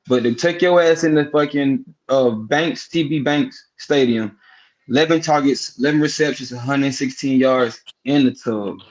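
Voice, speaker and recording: A man's voice: mid-range voice, normal speed, a little mellow, dull audio. A man co-hosting a casual sports podcast, breaking games down in a profane, emphatic style and repeating words for effect. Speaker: podcast co-host.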